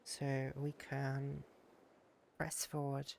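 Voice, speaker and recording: A man's voice: mid-range voice, monotone, very slow, creaky, a little mellow, a little hiss or hum. A man streaming a casual game session, rambling and thanking viewers, sounding stuffy from a cold. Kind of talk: casual streaming chat while exploring a game world.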